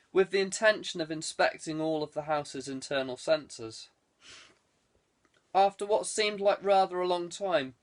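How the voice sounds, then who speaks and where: Guy narrating an audiobook novel, voice slightly on the high side. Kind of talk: scripted fiction narration.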